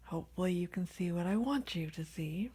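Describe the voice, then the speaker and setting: A woman vlogging casually about her doll collection, her raspy voice extra nasal and hoarse from a cold, often dropping to a soft whisper. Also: lowish voice, lively intonation, a little fast. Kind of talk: casual rambling vlog. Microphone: handheld camera without tripod.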